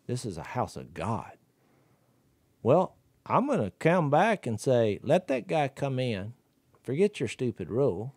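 Guy in his 40s, fired up, arguing fast into a studio mic, dry sound. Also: medium pitch, husky, dry recording. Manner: animated, gesturing, riled up. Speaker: podcast co-host. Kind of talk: casual religious discussion. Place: wood-paneled studio room. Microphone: studio mic with headphones.

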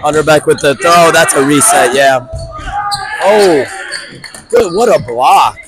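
Raspy man commentator, slightly high voice, excited calls, loud crowd in a big gym. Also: slow pace, background noise. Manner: excitable, lots of exclamations. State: hoarse from yelling. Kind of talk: live play-by-play commentary. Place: large indoor gym at a match.

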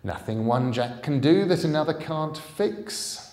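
A man's voice: a little high, expressive, unhurried, a little mellow, voice pushed up. Man acting out a curt, menacing gang boss. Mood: cold, menacing. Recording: roomy hall echo.

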